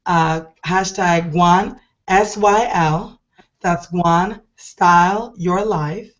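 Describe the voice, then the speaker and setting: A middle-aged woman hosting an online show, hoarse and deep-voiced from a cold, talking in a chatty, rambling, enthusiastic way. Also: very slow, lo-fi sound. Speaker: host. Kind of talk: casual online show talk.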